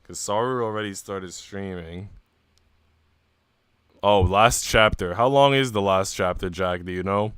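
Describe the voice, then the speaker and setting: A man's voice: sits low, a little slow, pauses often, a bit bright, clean tone, no room sound. A man live streaming, reading a visual novel aloud and dropping into casual, slangy asides to his chat. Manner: informal, slangy, chatty.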